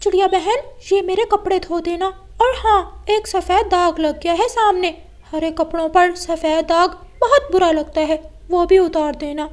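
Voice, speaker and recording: A woman as a fussy, high-pitched parrot. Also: fairly even intonation, a little slow. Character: chatty, fussy male parrot customer.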